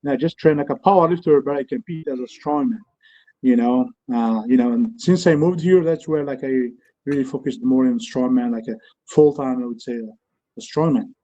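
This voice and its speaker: A middle-aged man from Algeria with a North African French accent, chatting casually as an interview guest in halting, repetitive English. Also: mid-range voice, warm tone. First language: not English. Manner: halting, repeats words and says "you know" constantly. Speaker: guest, strongman athlete and promoter. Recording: dull lo-fi live-stream audio.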